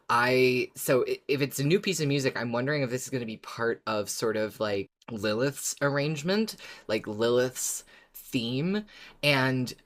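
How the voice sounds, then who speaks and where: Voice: mid-range voice, a bit bright. Podcast co-host thinking aloud. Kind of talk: casual fan discussion.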